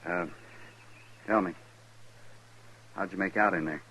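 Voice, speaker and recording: A man playing an earnest, worried Army lieutenant, polite and guarded, with a husky voice in 1950s radio western style. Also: sits low, fairly even intonation, unhurried, sharp tone, exaggerated voice.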